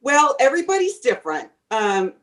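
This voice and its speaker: A woman's voice: high-pitched, big pitch swings, normal speed. A woman voice teacher being interviewed, talking chattily and advising from experience, with lots of fillers and restarts. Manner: speaks from a teacher's experience, giving advice. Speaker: voice teacher, guest. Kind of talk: conversational interview answers.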